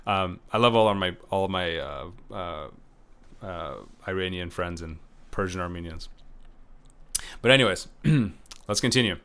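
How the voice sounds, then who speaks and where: A man's voice: low voice, a little slow, long pauses, fairly bright tone, clean tone. A man giving a recorded lecture in a loose, conversational way, full of fillers, restarts and self-corrections. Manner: unsure about names, self-correcting. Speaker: professor.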